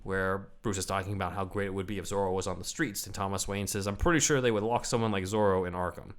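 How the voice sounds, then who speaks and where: A man co-hosting a casual podcast, explaining comic book history at length in an animated way. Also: low-pitched, fast. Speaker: podcast co-host.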